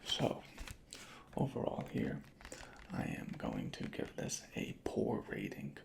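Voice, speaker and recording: A soft-spoken ASMR creator murmuring methodically in a breathy, whispery voice, unhurried and close to the microphone. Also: rumbling low, wildly swooping pitch, slight background noise. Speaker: ASMR creator playing a record inspector.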